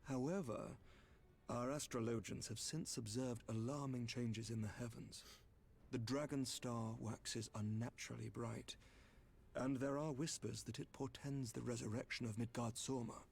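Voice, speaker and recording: An actor playing a courteous, grave knight commander in a fantasy game, speaking in formal, measured, archaic phrases with a slightly creaky voice. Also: male voice, medium pitch, steady tone, darkish tone, close to an everyday voice. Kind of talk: scripted fantasy game dialogue.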